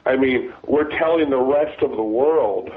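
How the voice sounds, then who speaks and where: A man, a former deputy sheriff, talking as a guest with a raspy voice from a cold, halting and restarting his sentences. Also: mid-range voice. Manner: halting, many restarts and repeated words. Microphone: phone. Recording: phone line.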